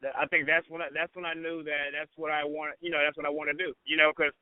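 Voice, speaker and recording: Male radio personality as guest, slightly high voice, conversational and informal, circling back over phrases, narrow phone-like sound. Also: level tone, quick pace, smooth, no room sound. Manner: informal, repetitive, peppers talk with "you know" and "man". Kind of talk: casual conversational interview.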